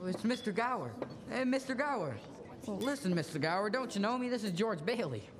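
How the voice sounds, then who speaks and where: Young man playing an agitated, animated family man. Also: high voice, a little fast, slight background noise. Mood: bewildered. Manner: agitated, stammering. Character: frantic, bewildered family man losing his grip. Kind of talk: live radio play reading. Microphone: stand microphone on stage. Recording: reverberant school auditorium stage.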